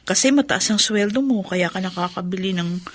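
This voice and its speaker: Actress as earnest mother, husky and close-miked. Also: medium pitch, brisk, dry recording, natural voice. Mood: warm, regretful. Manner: earnest, expressive. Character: emotional, devoted mother of special-needs children, regretful yet hopeful. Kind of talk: Filipino radio drama acting.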